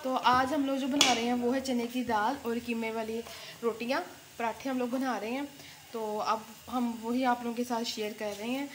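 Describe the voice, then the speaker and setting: A woman's voice: on the high side, steady tone, moderate pace, noisy background. Chatty young woman hosting a cooking vlog. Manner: chatty, explains step by step to viewers. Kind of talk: casual cooking vlog narration. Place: home kitchen.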